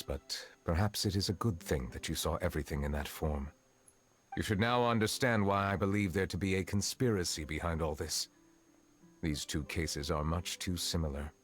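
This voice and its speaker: Video game judge: very low, formal, restrained, grave; faint music behind. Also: male voice, expressive, normal speed. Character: grave, courteous Chief Justice, dragon in human form.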